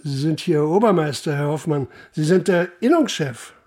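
An actor playing a persistent police inspector in a radio play, questioning in a measured, formal way. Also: male voice, fairly high pitch, animated, darkish tone. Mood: businesslike. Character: probing male police inspector investigating a murder. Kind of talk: German radio play acting.

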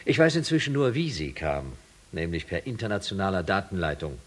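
A man acting as an authoritative shuttle commander in a German science fiction radio drama, speaking in formal, measured announcements. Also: sits low, sing-song. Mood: official, emphatic. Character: male shuttle commander, authoritative, reassuring, official.

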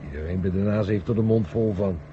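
A man's voice: low-pitched, fast talker, lightly acted. A man acting a sceptical, teasing former astronaut in a radio drama, often sounding incredulous. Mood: curious, incredulous. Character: sceptical, teasing ex-astronaut, male, old friend. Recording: muffled 1970 radio recording with hiss.

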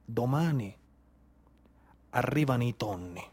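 A man playing a calm, assured tuna fishing chief who speaks quietly and without hurry. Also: mid-range voice, husky, pitched below the performer's own voice. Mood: calm, knowing. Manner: quiet, slow, unhurried.

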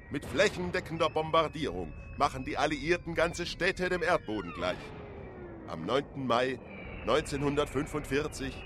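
Animated higher-pitched male documentary narrator. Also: noisy background. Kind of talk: scripted documentary narration in a radio drama.

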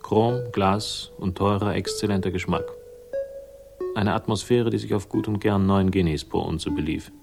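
A low-voiced, battered hard-boiled man, narrating a 1960s radio thriller. He is dry, laconic and sardonic, with music behind him. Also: fairly even intonation, normal speed, vocal fry. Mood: dry, resolute. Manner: dry, world-weary, laconic. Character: weary, battered, sardonic male crime-story narrator bent on revenge. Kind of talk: late-1960s German radio crime drama, first-person narration.

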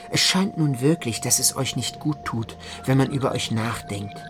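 A man narrates a literary radio play in the first person. His voice sits at a middle pitch, and music plays clearly behind it throughout. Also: normal speed.

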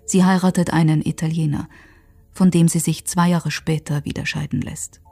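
A woman narrates a historical radio documentary in a sober, measured way. Her voice is mellow and slightly low, with marked creak, and her delivery is factual and scripted. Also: steady tone, soft background music.

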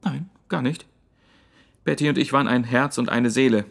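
An actor playing a vain widowed stage actor in a radio play, evasive and defensive while keeping up a smooth front. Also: male voice, mid-range voice, normal speed.

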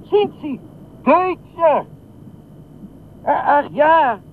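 A woman in a radio play acting a timid bather at the seaside, speaking in halting, questioning phrases. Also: high-pitched, animated, exaggerated voice. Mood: hesitant, questioning. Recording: tinny band-limited radio play, faint noise.

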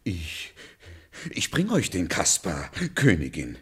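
A man's voice: low-pitched, wildly swooping pitch, takes it slowly, husky, full character voice. A man playing a foolish, servile huntsman in a children's radio play, with a theatrical, fawning manner. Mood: pleading, fearful.

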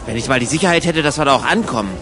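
A man's voice: mid-range voice, lively intonation, rapid-fire, sharp tone, faint music behind. A man playing a matter-of-fact traveller who explains things eagerly in a conversational radio play. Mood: mildly exasperated.

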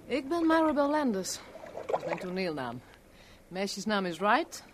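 An actress in a 1970s radio play voicing a forthright stage performer with pointed, crisp speech. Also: female voice, medium pitch, big pitch swings, normal speed, background noise, natural voice. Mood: brisk, challenging. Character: brisk, forthright stage actress, cigar-smoking divorced woman.

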